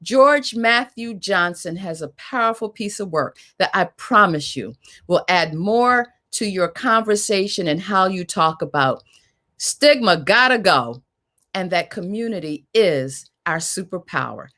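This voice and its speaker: A woman's voice: mid-range voice, animated, unhurried, sharp tone. A woman with a mid-range voice acts as the host of a panel. She moderates informally, speaking directly to guests and audience in a conversational way.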